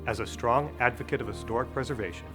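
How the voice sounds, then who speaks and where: A man reading scripted documentary narration in a measured, formal way. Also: mid-range voice. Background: guitar music.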